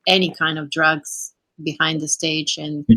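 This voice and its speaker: A woman's voice: deep voice, little pitch movement, a little slow, bright, forceful tone, dry recording, low-quality recording. A woman from Bulgaria chatting casually and frankly on a group call, swearing now and then. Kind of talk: casual chat.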